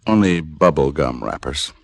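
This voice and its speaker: A man's voice: rumbling low, expressive, moderate pace, no echo, full character voice. An American man playing a wisecracking, hard-boiled private detective, acting and narrating in a dry, sardonic way in an old-time radio drama. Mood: casual, probing. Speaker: lead actor and narrator. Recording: vintage radio broadcast with background music.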